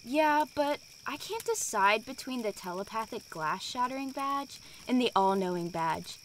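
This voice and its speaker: A woman's voice: a little high, animated, normal speed, slight background noise, natural voice, rough recording. A woman playing a self-assured, skeptical young girl scout in an audio drama. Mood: musing.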